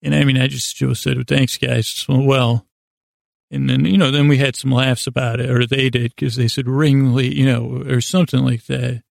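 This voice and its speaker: A man hosting a bedtime podcast in a gravelly, creaky voice, rambling in a halting, meandering way that often circles back on itself. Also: mid-range voice, flat delivery, no room sound, clean studio sound. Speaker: host of a bedtime sleep podcast. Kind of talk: rambling, unscripted bedtime monologue.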